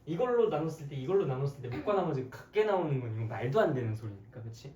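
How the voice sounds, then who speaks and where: A math teacher with a hoarse voice giving an interactive classroom lecture, playful and conversational, often posing questions to students. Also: medium pitch, sing-song, fast, mellow. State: hoarse, frequent throat clearing. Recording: echoey, distant mic, background noise.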